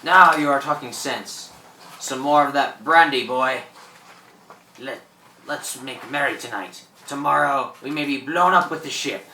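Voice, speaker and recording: Gloating old pirate, roomy sound. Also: male voice, mid-range voice, unhurried, pushed and bright, a little hiss or hum. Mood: merry. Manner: theatrical, gloating, cackling. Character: boastful old French pirate, greedy and menacing, newly revived. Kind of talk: radio play acting. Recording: roomy, distant microphone.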